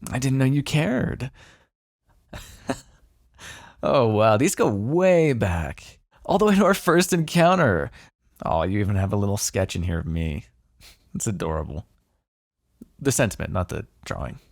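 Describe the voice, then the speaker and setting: Male vampire, played by a man for ASMR roleplay: sardonic, secretly fond, very animated pitch, creaky low edge. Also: measured pace, long pauses, no room sound, clean studio sound, exaggerated voice. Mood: smug, amused. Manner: sardonic, conversational, teasing, with sighs and swearing. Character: smug, teasing male vampire, wry and grudgingly fond. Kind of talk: intimate ASMR roleplay monologue.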